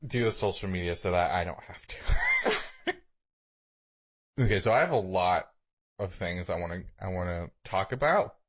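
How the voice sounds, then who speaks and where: A man's voice: low-pitched, wildly swooping pitch, normal speed, long pauses, fairly bright tone, slightly rough. A man co-hosting a podcast, telling stories in a casual, sarcastic way and swearing freely. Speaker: podcast co-host. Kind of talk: casual chat, anecdotes.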